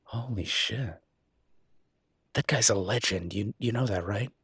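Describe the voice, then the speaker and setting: A man plays an affectionate chef boyfriend in an audio roleplay. His voice is lowish and husky, with a casual, halting delivery. It is recorded very dry. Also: fairly bright tone, no echo, heavily acted. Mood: awed, excited. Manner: informal, hesitant, halting.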